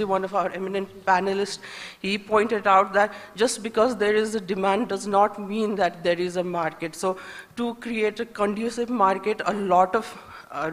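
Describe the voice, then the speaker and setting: A woman from Nepal with a Nepali accent, speaking as a conference panelist in a hesitant, halting way, thinking aloud as she makes her points. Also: medium pitch. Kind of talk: semi-spontaneous panel remarks on trade policy. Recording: echoey hall with long reverb.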